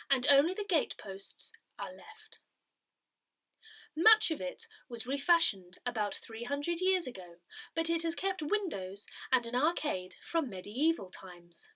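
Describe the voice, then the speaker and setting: A woman reading a parish newsletter and its notices aloud from a script, measured and careful with each detail. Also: fairly high pitch, sing-song, sharp tone. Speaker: reader of a parish newsletter audio edition.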